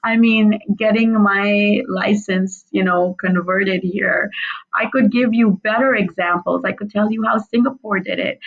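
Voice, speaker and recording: A woman's voice: medium pitch, gravelly. A woman whose first language is Urdu, answering interview questions in an earnest, emphatic way and repeating phrases for stress. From Pakistan. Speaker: author guest. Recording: dull lo-fi audio.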